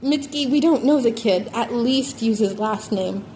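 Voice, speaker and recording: A woman's voice: a little high, moderate pace, dark tone, natural voice. Woman acting weary family man, mild, polite, sighing, storybook reading, noisy recording. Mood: exasperated. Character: weary, polite, mild middle-aged father.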